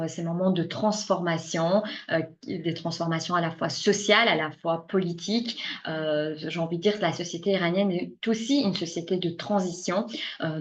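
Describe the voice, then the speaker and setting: Woman, Belgian French accent, native Persian speaker, lower voice, hesitant lecturer, muffled recording. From Iran. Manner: hesitant, frequent filler sounds. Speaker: university lecturer. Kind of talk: course presentation.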